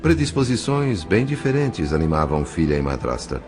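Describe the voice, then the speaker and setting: A Brazilian man narrates an audio novel in a deep voice. His delivery is measured and literary, with expressive swings in pitch. Faint music plays behind him.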